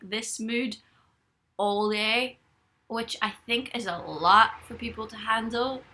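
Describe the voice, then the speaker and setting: Young woman, bright voice, rambling giggly live-stream chat from her bed. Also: mid-range voice. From Ireland. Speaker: livestreamer. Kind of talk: casual live-stream chat, reading comments. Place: bedroom, in bed.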